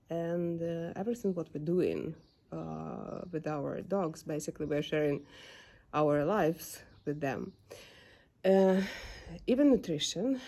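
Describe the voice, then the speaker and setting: A woman's voice: lowish voice, vocal fry, mellow. A young woman with a Ukrainian accent, speaking English as a second language in a casual vlog, hesitating often with fillers while she searches for words. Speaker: dog owner vlogger. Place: home backyard.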